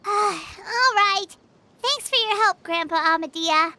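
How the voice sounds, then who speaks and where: A woman's voice: measured pace, sharp tone, a little hiss or hum, full character voice. A woman voicing a small, chirpy, curious girl companion in a video game. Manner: high, bouncy, inquisitive.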